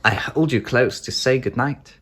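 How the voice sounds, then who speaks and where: A narrator reading a picture book aloud to young children in a gentle, affectionate way with drawn-out phrasing. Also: medium pitch. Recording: music behind the voice. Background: music.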